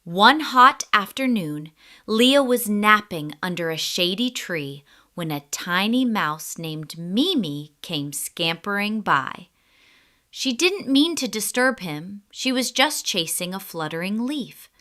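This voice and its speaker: A woman narrates a children's story with slow, deliberate phrasing. Her delivery is expressive, with lively pitch movement and a bright, forceful tone.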